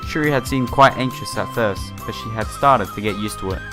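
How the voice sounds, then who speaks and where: A man's voice: lowish voice, a little fast. Man reading a game aloud over music. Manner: stumbles over words and rereads phrases. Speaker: gaming YouTuber playing a visual novel. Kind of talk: casual let's play, reading game text aloud with side comments. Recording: music playing behind the voice.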